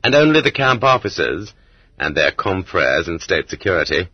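A man narrating a literary nonfiction audiobook in a measured, rhetorical way, leaning on the ends of phrases. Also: low-pitched, very animated, slightly rough, dry recording. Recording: dull, lo-fi cassette audiobook transfer.

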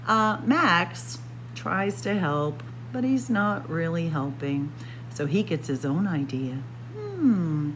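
A woman reading a picture book aloud to children as a storyteller, expressive and deliberate. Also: on the low side, a little hiss or hum. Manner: expressive, deliberate, addressing young listeners as friends. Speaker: librarian storyteller. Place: indoor library room with bookshelves.

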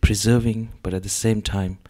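A man with a lowish voice speaks on a panel before a seated gallery audience. He talks haltingly through a handheld mic, full of "uh" and "um". He sounds like a man in his thirties. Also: flat delivery, dark tone. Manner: hesitant, many fillers. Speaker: panel artist. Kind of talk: panel discussion.

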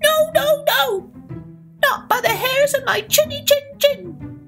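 A woman's voice: very high-pitched, slow, a bit bright, faint music behind, voice pushed up, exaggerated voice. A woman voicing a little male pig from a children's storybook, frightened but defiant and squealing. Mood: scared defiance.

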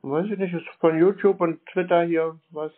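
A man's voice: on the high side, fairly even intonation, normal speed. Laconic man on a phone call. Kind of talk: casual phone conversation. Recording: narrow-band telephone call.

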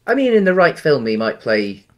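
A man's voice: on the high side, sing-song, moderate pace, crowd noise. A man in his thirties, co-hosting a casual film-review chat from home over a video call, easygoing and rambling with many ums. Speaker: podcast co-host. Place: home room.

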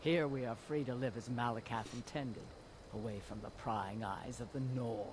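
A man playing a proud, welcoming orc of a stronghold in scripted fantasy game dialogue, measured and solemn. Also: mid-range voice, fairly even intonation, lightly acted. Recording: game audio with clear ambient noise.

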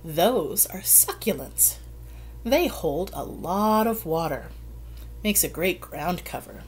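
A woman's voice: lowish voice, expressive, takes it slowly, husky, exaggerated voice. A woman voicing a wise, plainspoken older woman gardener in a storybook. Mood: matter-of-fact.

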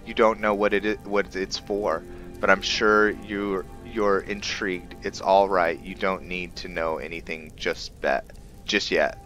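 A man with a low, bright voice commentates a roleplay video game. He talks slowly and muses with frequent pauses. Game music plays faintly behind him. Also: fairly even intonation. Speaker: gameplay video host. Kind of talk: roleplay game commentary with some reading aloud.

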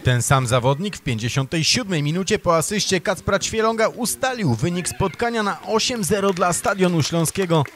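Man narrating youth football highlights. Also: normal speed, few pauses. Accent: Polish. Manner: steady narration of plays. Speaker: match commentator. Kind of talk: scripted match highlights voice-over.

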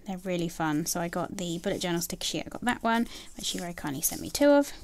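A young woman chats gently through a sticker haul, full of ums and likes. Her voice sits low with a gravelly fry, and she sometimes drops almost to a whisper while showing sheets. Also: expressive, quick pace. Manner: soft, gushing, with many ums and likes. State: has a bad cold, nasal and throaty. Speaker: planner sticker haul vlogger. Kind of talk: casual haul chat. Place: indoors at a table, filming her hands.

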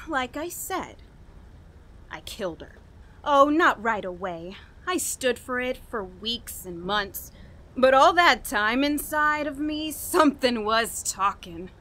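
A woman playing an unhinged, guilt-ridden killer confessing in a halting, dramatic way in a radio horror drama. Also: a little high, lively intonation, sharp tone, full character voice. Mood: anguished, brooding. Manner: dramatic, halting, broken by manic laughter. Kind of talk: old-time radio horror drama monologue.

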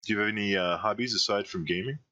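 A man's voice: fairly low pitch, quick pace, sharp tone, highs cut off. A man hosting an interview, friendly and chuckling, with many fillers. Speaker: podcast host. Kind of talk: casual interview chat.

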